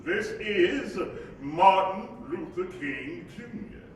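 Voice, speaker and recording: A dignified spirit recalling his younger days, played by a man in a stage play. He talks slowly and deliberately in a raised pitch, far from the mic in a hugely echoing hall. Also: expressive. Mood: polite, formal. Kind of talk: stage monologue in a play reading. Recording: echoey hall from far off, faint rumble.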